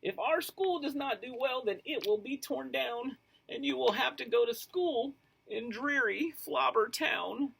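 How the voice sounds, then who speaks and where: A man's voice: a little slow, vocal fry, a bit bright, husky, exaggerated voice, poor audio. A man voicing a fussy, emotional male school official from a storybook, with a theatrically wailing delivery. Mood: tearful dread. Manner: high, wailing, theatrically anxious.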